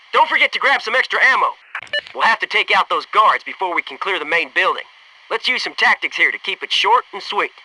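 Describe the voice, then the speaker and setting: An American actor playing a brash, upbeat, teasing soldier in a military video game, with punchy, cocky, informal delivery. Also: male voice, high voice, bright, forceful tone, distant mic, slight background noise, exaggerated voice, rough recording. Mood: excited, cheerful.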